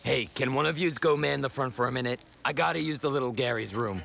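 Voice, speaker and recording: Man as a gravelly, sarcastic sidekick. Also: medium pitch, quick pace, fairly bright tone. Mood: brash, defiant. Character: grumbling, sarcastic wisecracking sidekick named Gary. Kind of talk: audio drama acting.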